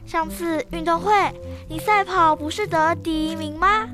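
A woman's voice: very high-pitched, normal speed, music in the background. A schoolgirl acting a dutiful, bossy big sister in a children's radio drama. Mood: reasoning.

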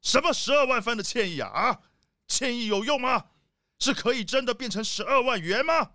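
A man's voice: very high voice, lively intonation, normal speed, pushed and bright, no echo, clean studio sound, heavily acted. A man playing a bossy, irascible animal tycoon villain in a children's radio drama, scolding and indignant. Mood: angry scolding.

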